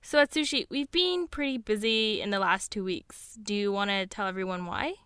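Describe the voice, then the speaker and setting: Clear-voiced woman podcast host, closely recorded. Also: medium pitch, normal speed, a bit bright, dry recording, studio quality. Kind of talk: conversational podcast hosting and narration.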